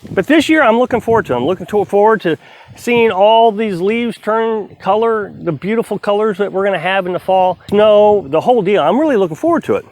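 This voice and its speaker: A man's voice: high-pitched, expressive, brisk, a little mellow, poor audio. A middle-aged man vlogging outdoors on his homestead, talking casually and earnestly while he splits firewood. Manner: rambling, earnest, self-reflective.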